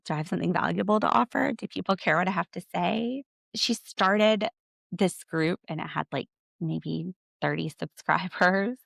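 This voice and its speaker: An American woman hosting a podcast, giving casual, opinionated commentary in an animated, sarcastic, slangy way. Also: fairly low pitch, moderate pace, gravelly, no echo, clean studio sound. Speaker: podcast host.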